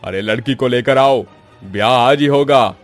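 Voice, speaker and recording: A man's voice: medium pitch, normal speed, faint music behind, lightly acted. A man voicing a scheming, bullying village headman in a horror cartoon, speaking in a bossy, threatening way. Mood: angry commanding. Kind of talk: Hindi horror cartoon acting.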